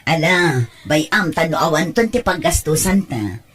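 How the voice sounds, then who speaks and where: A woman with an Ilocano accent playing an encouraging, devoted mother in a radio drama, with a husky voice. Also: low voice, expressive, a little slow, fairly bright tone, full character voice.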